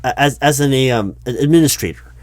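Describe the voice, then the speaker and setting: A man roleplaying a skeptical but polite hiring manager in a one-sided interview, speaking out loud in a halting, stammering way. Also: mid-range voice, a little hiss or hum. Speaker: roleplay performer as recruiting manager. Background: computer keyboard typing.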